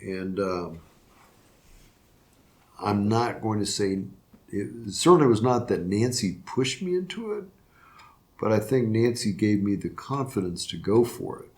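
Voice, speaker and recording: A man's voice: on the low side, animated, darkish tone. An older man, a retiring judge from Florida, answering interview questions reflectively, with frequent restarts and fillers. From Florida, USA. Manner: halting, many restarts and fillers. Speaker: retiring circuit court judge.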